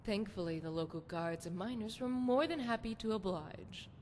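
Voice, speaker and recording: A woman's voice: normal speed, darkish tone, close to an everyday voice. A woman playing a seductive, flirtatious priestess of a love goddess in a video game, with sultry, poetic, theatrical phrasing. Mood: wry, self-assured. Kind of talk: scripted video game character dialogue. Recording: long hall reverb, noisy rumbling background.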